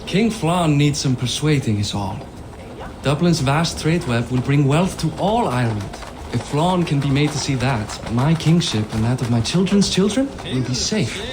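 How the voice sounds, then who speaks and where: A man's voice: on the high side, expressive, normal speed, noisy background, natural voice. An actor playing a boisterous, proud middle-aged Viking king in a video game cinematic, hearty and boastful as he declaims.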